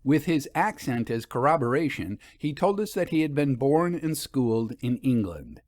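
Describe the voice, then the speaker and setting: A man narrating a literary story from a script in a measured, expressive way. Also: mid-range voice.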